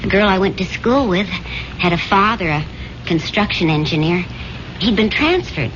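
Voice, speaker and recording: A woman's voice: mid-range voice, expressive, normal speed, a bit bright, close to an everyday voice. An actress playing a wistful young woman reminiscing with her sweetheart in earnest, reflective 1970s radio drama style. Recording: dull, noisy 1970s radio recording.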